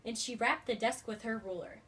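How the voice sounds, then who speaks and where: A woman's voice: on the high side, a little fast, a bit bright. A woman teacher reading a storybook aloud expressively and putting questions to young children. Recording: faint background noise.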